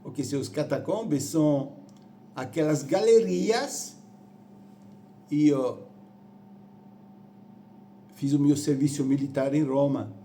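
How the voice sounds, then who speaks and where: A man from Rome with an Italian accent, preaching in halting, deliberate phrases with repetitions. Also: a little high, mellow, a little hiss or hum. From Rome, Italy. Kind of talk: sermon on church history.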